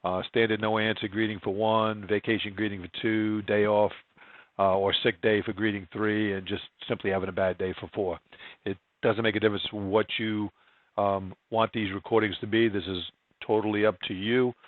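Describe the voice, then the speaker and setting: A man narrating a screen-share software tutorial, explaining each step in an informal, plain-spoken way. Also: on the low side, level tone, moderate pace, no echo, narrow, tinny audio. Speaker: software trainer.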